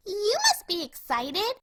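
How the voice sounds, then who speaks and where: A woman voicing a hyper, giddy young pink cartoon pony with a squeaky, shrill, giggly and gushing delivery. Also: pushed and bright, no room sound, clean studio sound, full character voice.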